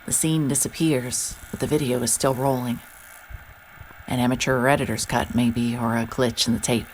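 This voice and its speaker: An actress playing a hard-bitten, wary private investigator, terse and sardonic, in a horror audio drama with noir-style narration. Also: female voice, extremely low pitch, steady tone, normal speed, slight background noise, poor audio. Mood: tense, absorbed. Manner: muttering to self, wry, terse. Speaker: lead character and narrator.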